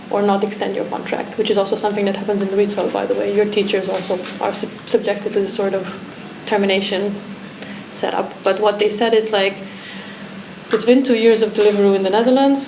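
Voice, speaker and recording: A woman from Romania, an artist, speaking reflectively with many restarts and fillers in a talk discussion. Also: mid-range voice. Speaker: artist guest. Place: art academy pavilion. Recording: echoey room with background noise.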